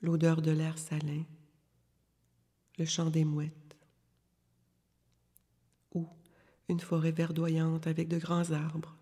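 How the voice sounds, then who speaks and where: A woman guides a relaxation meditation in a long, washy reverb. Her delivery is very slow and calm, with long pauses between instructions. The voice is soft and breathy, staying on an even, level line. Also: sits low. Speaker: yoga nidra meditation guide. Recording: long reverberant hall-like echo.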